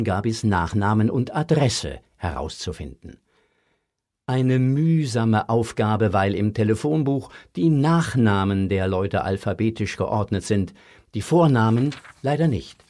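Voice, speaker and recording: A man's voice: lowish voice, darkish tone. A man narrating a scripted children's audio story as the storyteller.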